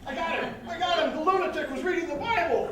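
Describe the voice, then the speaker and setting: A man playing a blunt, excitable police detective in a staged comedy reading. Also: very high-pitched, fast, a loud crowd. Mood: excited. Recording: distant, echoey stage recording, faint noise.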